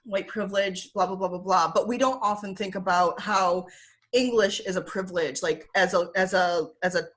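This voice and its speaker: A woman's voice: mid-range voice, fairly even intonation, moderate pace, fairly bright tone. A young woman with a Michigan accent, talking casually as an interview guest over a video call, with lots of fillers and easy laughter. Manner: chatty with frequent fillers, laughs often.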